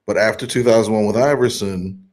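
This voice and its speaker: A man co-hosting a casual sports podcast, thoughtful and halting, with many pauses and restarts. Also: on the low side, steady tone, a little mellow. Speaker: podcast co-host.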